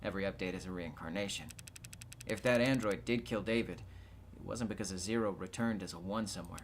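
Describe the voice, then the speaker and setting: A man's voice: low-pitched, a little fast, slight background noise. A man voicing a nervous, evasive tech worker in video game dialogue, explaining himself in a hesitant, defensive manner. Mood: explanatory.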